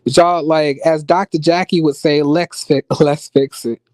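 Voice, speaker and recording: A man with an American accent chatting casually as a livestream host, in a sassy, expressive, slangy conversational way. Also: a little high, a little slow, dry recording. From United States, born in rural Florida and raised in Las Vegas. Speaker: YouTube reviewer and livestream host. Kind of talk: casual livestream chat with viewers.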